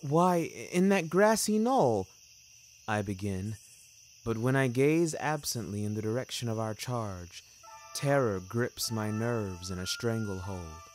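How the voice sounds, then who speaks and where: A man's voice: lowish voice, unhurried, a little hiss or hum. A young man reading scripted first-person fiction, carefully phrased, in a literary and dramatic manner. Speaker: narrator and author of a fiction podcast.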